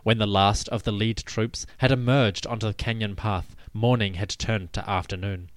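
A man giving a scripted, dramatic narration of ancient history in a measured, solemn storytelling manner. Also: fairly low pitch, steady tone, no echo. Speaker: podcast host and narrator.

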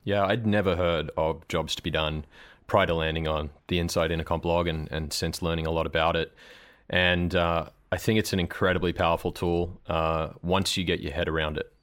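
Deep-voiced man explaining marketing strategy. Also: flat delivery, normal speed, a bit bright. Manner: thoughtful, explanatory, frequent ums and uhs. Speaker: guest, marketing director. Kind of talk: conversational podcast interview.

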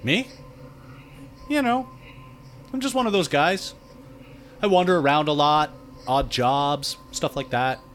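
A man's voice: a little high, slow, long pauses, fairly bright tone, slight background noise. An actor playing a laid-back, cryptic male cosmic drifter in audio fiction, offhand and wryly condescending. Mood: breezy, offhand.

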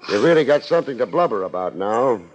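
A man's voice: mid-range voice, very animated, fast. An American man playing a hard-boiled, wisecracking private investigator in a 1950s radio drama, sardonic and unruffled. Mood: needling, skeptical. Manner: sardonic, unruffled, wry first-person narration and blunt questioning. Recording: muffled 1950s radio recording with music.

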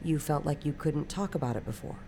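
Brief: actress as patient woman therapist, mellow and low, measured delivery, audio drama. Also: a little hiss or hum. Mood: calm, probing. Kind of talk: naturalistic audio drama dialogue.

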